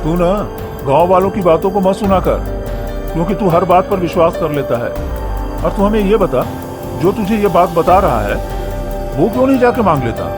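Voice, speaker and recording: A man's voice: high voice, lively intonation, moderate pace. A man voicing a skeptical young village man with a blunt, teasing way of talking, over background music.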